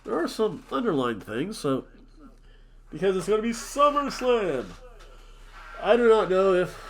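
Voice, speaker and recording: A man's voice: sits high, big pitch swings, unhurried, fairly bright tone. A man from New Jersey, nasal and sniffly from allergies, rambling and joking as a podcast co-host while drinking. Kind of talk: casual chat.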